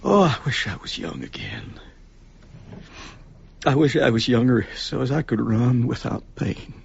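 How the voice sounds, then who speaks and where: A man's voice: medium pitch, very animated, a little slow, creaky, slightly rough, exaggerated voice. A man playing a weary, tender, bookish ageing father in a radio drama, musing and melancholy. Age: middle-aged. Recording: muffled, noisy radio drama recording.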